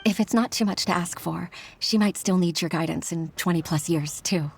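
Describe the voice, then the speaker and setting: A woman's voice: on the low side, normal speed, vocal fry, slight background noise. A woman playing an earnest, humble secretary and mother, speaking politely and heartfelt in an anime video game. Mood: reflective, hopeful.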